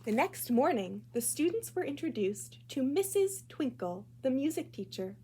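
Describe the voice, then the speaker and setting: Female storytime narrator: higher-pitched, animated, clearly phrased for children, slight background noise. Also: moderate pace. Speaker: library storytime reader. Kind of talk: expressive children's storybook read-aloud.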